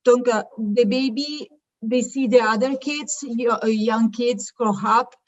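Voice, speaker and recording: Halting middle-aged woman. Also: a little high, steady tone, dull audio. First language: not English. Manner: halting, searching for words in non-native English. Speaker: childcare founder presenting her business. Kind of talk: informal business presentation.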